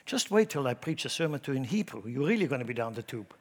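A middle-aged man with a Swiss German accent, preaching a sermon in church, emphatic and shifting from loud to hushed. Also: mid-range voice, big pitch swings, fast talker. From Switzerland. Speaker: pastor.

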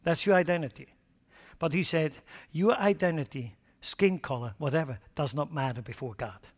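A man with a Swiss accent preaching a sermon as a pastor, then leading a prayer. He swings between loud, emphatic exhortation and hushed prayer. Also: on the high side, normal speed. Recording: long hall reverb with faint music.

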